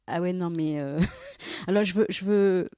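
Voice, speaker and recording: A woman in her forties from Brussels, a lawyer telling stories as a podcast guest, lively and laughing often. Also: on the low side, measured pace, clean tone, no echo, clean studio sound. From Belgium, Brussels. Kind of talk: casual storytelling interview.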